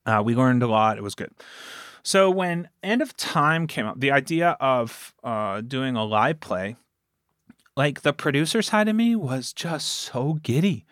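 A man's voice: mid-range voice, lively intonation, measured pace, a bit bright, no room sound. Talkative man chatting in a dry recording. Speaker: podcast producer and guest. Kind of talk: casual interview chat.

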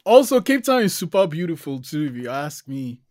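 A man's voice: on the high side, big pitch swings, a little fast, darkish tone, no echo, clean studio sound. A man interviewing a guest in a casual, laid-back way.

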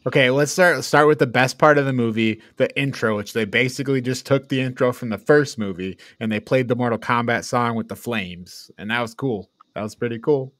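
A man's voice: mid-range voice, fairly quick, hardly any pauses, a bit bright, no room sound. Casual man co-hosting, dry close sound. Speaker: podcast co-host reviewing films. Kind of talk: casual review chat.

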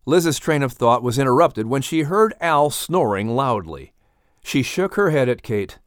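A man narrating and announcing in an animated, scripted storytelling style. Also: medium pitch, measured pace, clean tone, no echo, studio quality.

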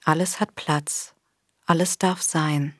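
A woman leads a guided body scan in a soft, breathy voice. Her delivery is very slow, gentle and soothing, with a slightly low pitch. The recording is close and dry. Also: steady tone, no room sound. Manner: gentle, soothing, unhurried instruction with long pauses. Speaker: meditation guide. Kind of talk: guided body scan meditation, scripted narration.

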